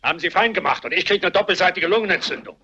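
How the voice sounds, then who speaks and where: A man's voice: high-pitched, animated, very fast, pushed and bright, muffled recording, slight background noise. An older actor playing a cantankerous, miserly grandfather who grumbles and barks sarcastically in a radio play. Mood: sour, suspicious. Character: cantankerous, miserly old grandfather, suspicious invalid tyrant. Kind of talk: German radio play acting.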